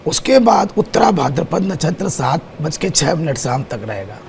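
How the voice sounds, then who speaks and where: A man with a sore, hoarse throat explains astrology as a guest on a TV show. His voice is gravelly and fairly high, with a faint hiss in the background. He moves steadily through lists of times and dates. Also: big pitch swings, moderate pace, darkish tone. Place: TV studio.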